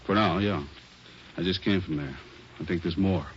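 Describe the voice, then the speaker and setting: An American actor playing a plain-spoken, businesslike chief of detectives in 1940s radio police drama. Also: male voice, deep voice, normal speed, natural voice. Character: brisk male chief of detectives giving orders. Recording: muffled old radio recording, faint noise.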